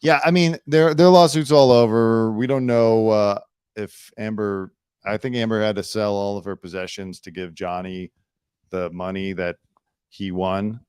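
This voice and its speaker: Smooth-voiced man hosting a chat show. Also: fairly low pitch, steady tone, unhurried, darkish tone, no echo, clean studio sound. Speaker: host. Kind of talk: casual chat.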